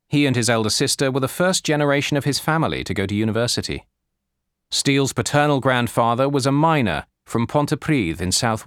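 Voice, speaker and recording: A man reading scripted non-fiction as an audiobook narrator, in a measured, deliberate way. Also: lowish voice, a bit bright, dry recording, clean studio sound. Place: studio.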